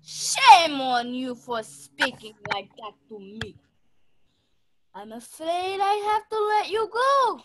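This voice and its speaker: A woman's voice: high-pitched, big pitch swings, slow pace, fairly bright tone, exaggerated voice, rough recording. A woman playing a haughty, dramatic wealthy lady in a mystery radio play, with an imperious, theatrical delivery. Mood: indignant. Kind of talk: stage-style mystery radio play acting.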